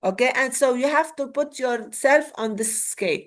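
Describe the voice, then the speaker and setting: A woman's voice: sits high, moderate pace. A woman from Lebanon with a Lebanese Arabic accent, leading an interactive online workshop and often checking in with "okay?". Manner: repeats words, checks in with "okay?". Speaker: webinar trainer.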